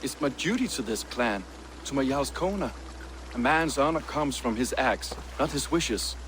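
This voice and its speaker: A man's voice: mid-range voice, animated, moderate pace, background noise, lightly acted. Proud, honour-bound Viking man played by an actor. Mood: proud, firm. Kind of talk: video game character acting.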